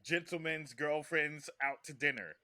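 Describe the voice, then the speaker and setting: A man's voice: on the high side, a little slow, sharp tone, slight background noise. A man hosting a casual conversational podcast, often restarting his phrases as he works toward his point. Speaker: podcast host.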